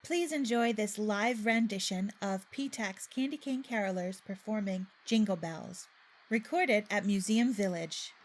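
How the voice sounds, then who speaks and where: A woman reading scripted narration as announcer in a measured, formal way. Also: medium pitch, a little hiss or hum.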